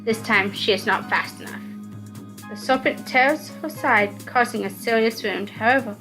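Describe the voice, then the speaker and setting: Higher-pitched woman narrating dramatically over music. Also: moderate pace, low-quality recording. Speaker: narrator reading a visual novel aloud. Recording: music under the voice.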